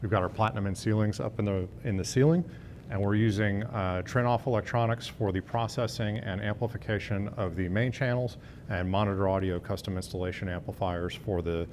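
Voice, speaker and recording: Low-voiced sales director giving a rundown. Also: male voice, brisk, a little mellow, slight background noise. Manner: fluent, confident sales pitch. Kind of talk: product rundown. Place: large hotel demo room, indoors.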